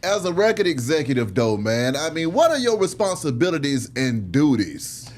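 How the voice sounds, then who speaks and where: A man's voice: fairly high pitch, sing-song, moderate pace, darkish tone. A man hosting a casual interview, blunt and slangy, swearing lightly as he puts his questions. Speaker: interviewer, host.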